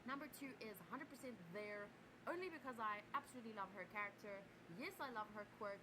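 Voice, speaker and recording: A woman with an Afrikaans accent, whose first language is Afrikaans, voices over a ranking of anime characters. She hesitates and restarts her sentences. Her fairly high voice plays back with plain hiss behind it. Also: quick pace. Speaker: anime reviewer.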